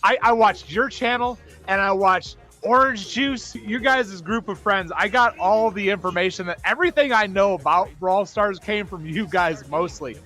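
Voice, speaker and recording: A man chatting casually as an interview guest, eager and excitable. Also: high-pitched, moderate pace, few pauses, faint music behind. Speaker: guest voice actor. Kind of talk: casual chat.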